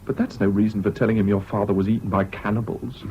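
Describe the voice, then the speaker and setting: An actor playing a reserved, sceptical young English student in a radio drama, speaking with polite restraint. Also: male voice, on the low side, fairly even intonation, fast talker, natural voice. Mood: concerned, questioning. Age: young adult. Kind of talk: 1970s British radio drama acting. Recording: dull, hissy old radio recording.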